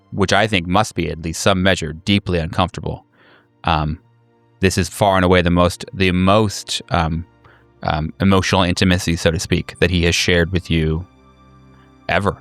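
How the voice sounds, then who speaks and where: A man improvising narration as a game master, in a halting, reflective and deliberate way. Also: deep voice, fairly bright tone, clear, resonant tone, no room sound. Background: faint music.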